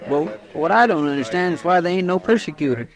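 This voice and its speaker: An earnest, excitable country deputy for a vintage radio western. The voice is high and very animated. He has a rural American drawl and a folksy, fretting way of talking. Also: fast talker, a crowd in the background. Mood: puzzled. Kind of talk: old-time radio western acting. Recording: vintage radio drama recording.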